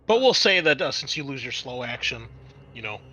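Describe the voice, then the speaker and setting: A man's voice: medium pitch, big pitch swings, a little fast, pushed and bright, dull audio. A man working as a game master, narrating a tabletop role-playing game in a conversational, improvised way.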